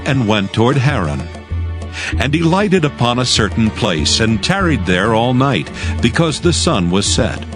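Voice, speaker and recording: A man narrating scripture formally as a storyteller from a script. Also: on the low side. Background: music.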